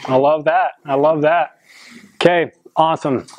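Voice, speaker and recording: A man in his twenties giving a motivational talk to a high school football team, earnest, with many ums and restarts. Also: fairly even intonation, unhurried, darkish tone, dry recording, muffled recording. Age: 20s. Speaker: motivational speaker.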